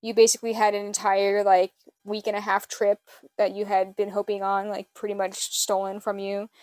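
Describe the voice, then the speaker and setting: A woman's voice: medium pitch, monotone, moderate pace, a little mellow, no room sound. A woman hosting a podcast in casual chat with a friend, with a slightly nasal voice and informal speech peppered with "like" and swearing. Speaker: podcast host.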